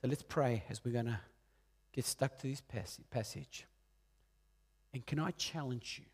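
A man in his late forties from Peru, preaching a sermon in an earnest way, rising loud on key points and dropping soft and low. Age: 40s. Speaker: pastor.

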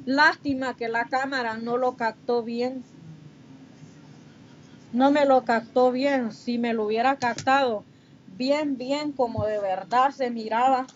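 A woman with a Honduran Spanish accent chatting casually with viewers on a livestream. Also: high voice. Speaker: livestreamer chatting with viewers while doing makeup. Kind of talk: casual chat reading and answering comments. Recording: muffled, noisy livestream audio.

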